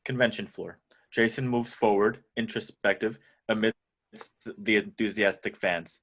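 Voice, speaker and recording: Male narrator, fairly low pitch, reads screenplay action descriptions for an amateur table read. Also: steady tone, unhurried, a bit bright. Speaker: narrator reading stage directions.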